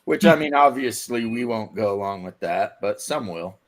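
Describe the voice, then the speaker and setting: A man's voice: fairly low pitch, animated, normal speed. Man telling stories in casual chat.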